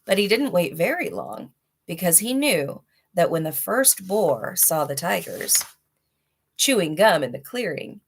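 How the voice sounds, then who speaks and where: Woman, slightly low pitch, children's story narrator, expressive read-aloud delivery. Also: unhurried, slightly rough. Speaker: storybook reader.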